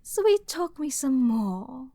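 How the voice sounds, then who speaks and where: A woman playing an arrogant, flirty princess with a dark, mocking edge in a dramatic fantasy ASMR roleplay, teasing and often murmuring. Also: high voice, big pitch swings, no room sound, exaggerated voice. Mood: sarcastic, teasing. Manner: haughty, teasing, deliberate, often dropping to breathy murmurs.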